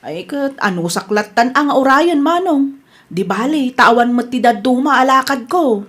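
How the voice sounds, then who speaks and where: A woman as a humble, high-voiced Ilocano cleaner. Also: expressive, normal speed, a bit bright. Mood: humble, grateful. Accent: Ilocano Filipino. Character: humble, eager-to-please woman doing yard cleaning. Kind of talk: Ilocano radio comedy drama acting.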